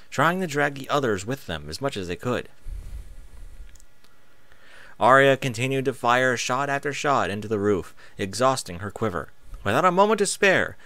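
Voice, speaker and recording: A man's voice: mid-range voice, fairly bright tone, dry recording. A man narrating an action story as a scripted, dramatic reading with emphatic phrasing. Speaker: narrator reading fan fiction aloud.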